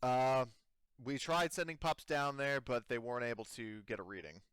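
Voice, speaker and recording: Man playing halting administrator, smooth dry voice. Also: medium pitch, a bit bright, no echo, lightly acted. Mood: halting, uneasy. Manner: halting, hedging. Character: colony administrator, hesitant, evasive, pleading his case. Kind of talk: improvised tabletop roleplay dialogue.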